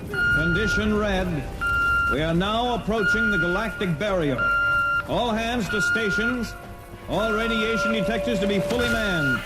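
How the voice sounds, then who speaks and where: An actor playing a formal ship's announcer in old-time radio sci-fi, giving orders in a clipped, declamatory military manner. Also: male voice, sits high, wildly swooping pitch, unhurried, heavily acted. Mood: stern. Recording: old radio drama under loud background music.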